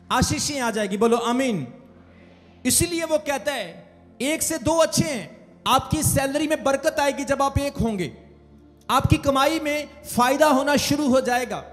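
A man's voice: extremely high pitch, long reverb tail. A man preaching a sermon as pastor, calling on the congregation to say amen. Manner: preaching with call-outs for amen.

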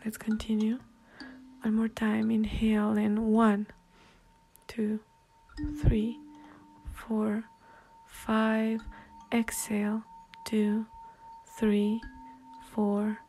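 A woman guiding a meditation in a soft, hushed, breathy way, leaving long pauses between instructions. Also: a little high, monotone, rough recording. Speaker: meditation guide. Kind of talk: guided meditation narration. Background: soft meditation music.

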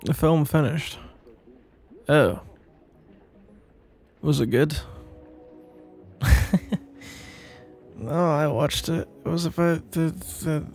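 Man acting a gravelly, flustered young best friend. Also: a little high, darkish tone. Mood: drowsy. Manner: halting, stammering, awkward, mumbling. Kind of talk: intimate ASMR audio roleplay.

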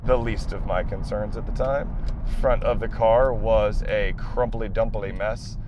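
A man's voice: lowish voice, measured pace, muffled recording. Self-mocking young man vlogging from his car. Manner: self-deprecating, animated retelling. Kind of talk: casual storytelling vlog. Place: inside a car, driving. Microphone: camera mounted in car. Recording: in-car camera with clear road noise. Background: road and engine noise.

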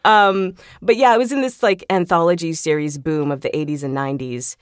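A woman talking casually and chattily as an interview guest, telling stories in an animated way. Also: fairly low pitch, brisk, no room sound, clean studio sound. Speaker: podcast guest, video game voice actor.

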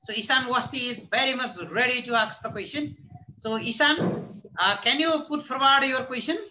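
A man in his thirties with a Nepali accent moderates an online panel as a teacher, speaking haltingly and repeating phrases for emphasis. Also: extremely high pitch. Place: indoors, plain-walled room. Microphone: computer mic on a video call. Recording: noisy video-call audio with clicks.

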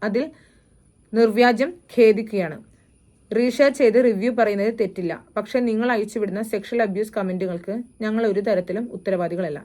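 A woman's voice: mid-range voice, a little fast, warm tone. A woman giving disapproving, at times sarcastic opinion commentary as a reaction video host.

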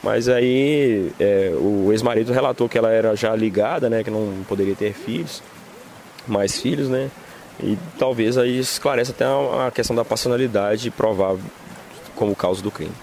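A Brazilian police lieutenant with a Brazilian Portuguese accent, reporting a crime in halting official jargon, outdoors on a street. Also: male voice, on the low side, a little mellow, a little hiss or hum, poor audio. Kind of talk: field report interview.

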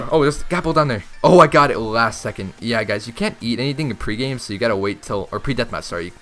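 A young man giving casual, excitable commentary over his own gameplay, talking straight to his viewers, his voice strained from shouting. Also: medium pitch, fast talker, soft background music. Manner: chatty, excitable, talks to viewers. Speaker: gaming YouTuber.

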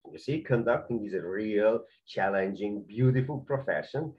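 A man's voice: lowish voice, lively intonation, normal speed, muffled recording. A man with a Hungarian accent who teaches conducting in a video lesson, talking through excerpts with careful, non-native phrasing and many fillers. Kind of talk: spoken video lesson with commentary.

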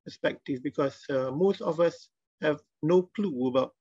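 A man's voice: a little high, normal speed, darkish tone, husky, no room sound. A middle-aged man, casually moderating a panel over a video call. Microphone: video call microphone. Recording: dull, lo-fi video call audio.

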